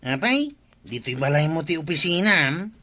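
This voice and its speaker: Man as bright-voiced nagging Ilocano village official. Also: fairly high pitch, very animated, normal speed. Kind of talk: comedic Filipino radio drama acting.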